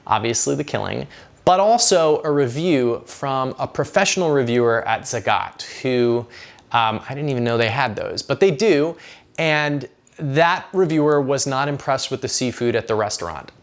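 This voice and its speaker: A man's voice: medium pitch, animated, moderate pace, vocal fry. A man hosting a food vlog, telling his restaurant review in a chatty, enthusiastic, casual way. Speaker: food vlog host and narrator.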